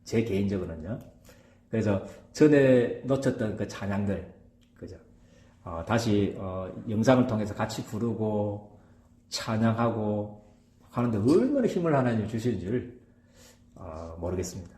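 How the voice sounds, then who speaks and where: A man's voice: on the low side, lively intonation, moderate pace, mellow, roomy sound. A male pastor delivering a sermon in a regional dialect. He speaks emphatically, checks in with his congregation by asking "right?", and fills the gaps between phrases with hesitations. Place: church.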